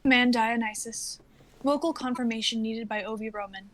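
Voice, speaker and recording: A woman playing an impassive female laboratory computer in a live drama reading, speaking in flat, measured announcements. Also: on the high side, close to an everyday voice.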